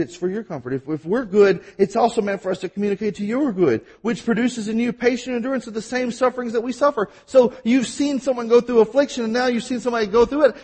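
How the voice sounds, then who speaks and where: A man with a Mississippi accent preaching a sermon, telling stories and at times talking fast. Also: very high voice, a little mellow, husky. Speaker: pastor.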